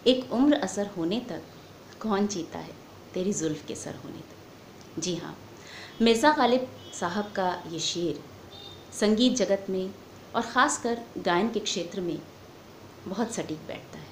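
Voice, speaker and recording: A woman giving a formal, measured lecture as a singing teacher. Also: mid-range voice, expressive. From India. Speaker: music lecturer, vocal teacher. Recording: echoey room with faint background noise.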